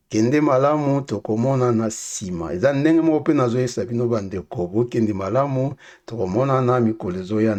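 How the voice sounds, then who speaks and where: Male language teacher, young adult, mid-pitched, repeats each phrase twice for learners. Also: dark tone. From Democratic Republic of the Congo. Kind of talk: casual spoken language lesson with repeated phrases.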